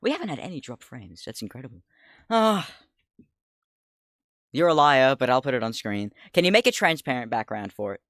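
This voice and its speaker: A man in his twenties with a deep voice, chatting casually on a livestream, rambling, laughing often, swearing and getting sidetracked. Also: big pitch swings, moderate pace, long pauses, a bit bright, no room sound, studio quality. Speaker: streamer.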